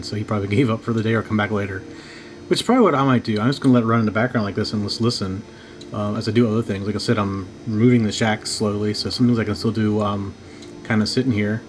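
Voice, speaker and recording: A man hosting a casual, unscripted radio hobby video, talking in a halting, low-key way as he thinks aloud. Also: fairly even intonation, a little hiss or hum. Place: home radio shack.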